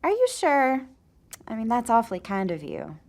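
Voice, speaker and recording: A woman's voice: a little high, huge pitch swings, moderate pace, darkish tone. An actress in an audio drama playing a sweet, chatty woman who poses as an expectant mother, friendly and confiding. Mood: cheerful. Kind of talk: audio fiction drama acting.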